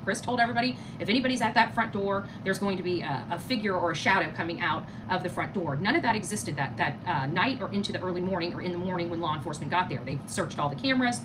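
A woman's voice: fairly low pitch, expressive, rapid-fire, few pauses. A middle-aged American woman giving casual livestream commentary and chatting with viewers in an emphatic, indignant, repetitive way. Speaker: live stream host. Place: small living room, indoors. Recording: clearly noisy home phone recording.

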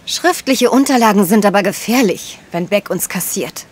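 An actress playing an anxious but cheeky female journalist in a crime audio drama, expressive and agitated. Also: mid-range voice, moderate pace, natural voice. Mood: wary. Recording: reverberant room sound.

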